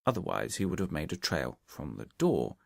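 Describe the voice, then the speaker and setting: A man voicing a confident, methodical male detective in an audiobook story, reasoning aloud and giving brisk orders. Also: a bass voice, huge pitch swings, creaky, dry recording, studio quality, voice pushed down, heavily acted. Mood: methodical, instructing. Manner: reasoning aloud, deliberate, commanding. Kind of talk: audiobook character dialogue from a Victorian detective story.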